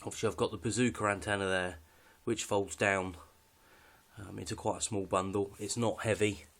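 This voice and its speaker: A man's voice: fairly low pitch, steady tone, normal speed, fairly bright tone. A British man giving a casual, unscripted walkthrough of his kit in a matter-of-fact, slightly hesitant way. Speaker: hobbyist presenter showing radio kit.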